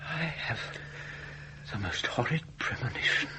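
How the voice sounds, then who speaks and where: An actor playing a fussy, learned middle-aged gentleman in a period ghost-story drama, speaking with pompous, old-fashioned precision. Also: medium pitch, animated, measured pace, noisy background, natural voice. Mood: grave dread. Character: fussy, learned gentleman scholar, irritable and gravely apprehensive.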